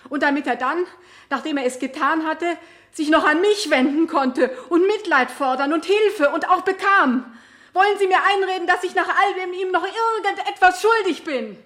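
An actress playing an anguished, betrayed wife on the witness stand in a radio play, emotional, halting and insistent. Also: female voice, very high-pitched, a bit bright. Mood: desperate, indignant. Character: anguished, betrayed wife testifying against her husband. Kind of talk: 1970 German radio play acting.

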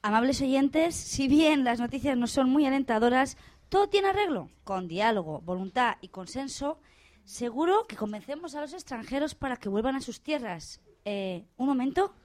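An actress playing a formal but easily flustered studio anchor in a radio drama parody of a news broadcast. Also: female voice, high voice, expressive, normal speed, darkish tone. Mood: measured.

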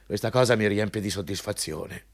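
A man's voice: lowish voice, a little fast, vocal fry, breathy edge. An actor playing a pompous, overbearing male company boss in a radio drama, hectoring and self-important. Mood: pompous, needling. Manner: pompous, hectoring, switching between scolding and ingratiating. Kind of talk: Italian radio drama acting.

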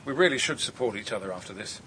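An actor playing a genial, bumbling middle-aged uncle in a radio drama, hearty but awkward and apologetic. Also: male voice, lowish voice, lively intonation, fast talker, sharp tone, a little hiss or hum. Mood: awkwardly regretful.

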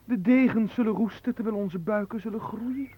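An actor in a Dutch radio play voicing a cheeky, flirtatious manservant, theatrical and coaxing, often dropping into hushed pleading. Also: male voice, high-pitched, normal speed, husky, highs cut off. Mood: wry. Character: cheeky, flirtatious manservant, smooth-talking and playfully servile.

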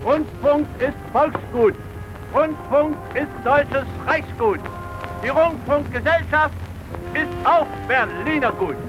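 A bombastic 1920s mayor makes a speech in a radio play. The very high voice declaims slogans at a slow pace, with loud music behind. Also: male voice, animated, exaggerated voice, low-quality recording. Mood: pompous. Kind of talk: slogan-like public oratory in radio drama.

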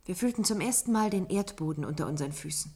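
Husky, breathy, wistful woman. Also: lowish voice, animated, fast talker, dark tone. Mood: wistful, earnest. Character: resolute, wistful woman recalling adventures outdoors. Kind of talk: German radio drama, reflective monologue.

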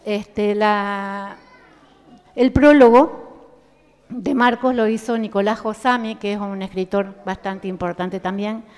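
A woman presenting a book at a live event, speaking casually with fillers and then reading a prologue aloud in measured phrases. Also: mid-range voice, a little mellow, clean tone. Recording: reverberant hall.

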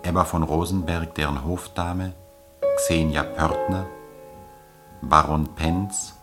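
Super deep guy announcing a radio drama cast, slow n formal, piano music loud behind him. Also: fairly even intonation.

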